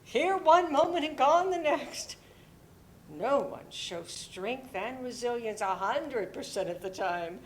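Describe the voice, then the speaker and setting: Kind motherly neighbour, played by a woman: high voice, unhurried, gently reassuring. Stage play reading with faint rumble. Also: lively intonation. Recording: live recording with faint rumbling noise.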